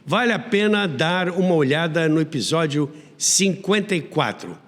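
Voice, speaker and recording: A man's voice: fairly high pitch, expressive, normal speed, big-room sound. A Brazilian man hosting a history program, narrating in a conversational storyteller's way with wry, theatrical asides. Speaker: host of a radio history show.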